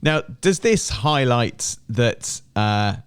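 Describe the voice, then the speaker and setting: A man's voice: mid-range voice, big pitch swings, takes it slowly, clean tone, dry recording, clean studio sound. A man hosting a podcast, putting questions in a casual interview style. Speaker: podcast host.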